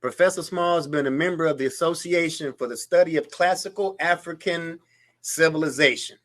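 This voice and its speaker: A man's voice: on the high side, brisk, fairly bright tone. Man hosting a mocking commentary show. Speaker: show host. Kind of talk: reading aloud and reacting to clips.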